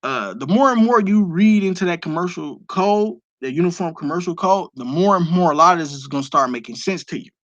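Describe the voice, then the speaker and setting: A man's voice: a little high, lively intonation, a little fast, few pauses, fairly bright tone, dry recording, dull audio. Emphatic man lecturing on a stream. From Detroit, USA. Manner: emphatic, lecturing, sprinkled with profanity. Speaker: live streamer answering viewer questions. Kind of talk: casual live-stream Q&A.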